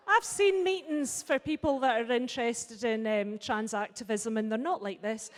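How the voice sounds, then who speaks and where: A woman's voice: a little high, a little slow. A woman chairing a festival panel, frank and jokey in her delivery. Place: large hall at a festival. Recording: reverberant hall.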